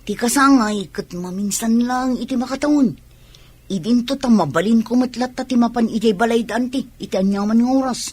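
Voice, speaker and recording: A woman's voice: a little high, fairly quick, a little mellow, slightly rough. A woman playing a polite but wary young niece with an Ilocano accent in a radio drama, hesitant and deferential.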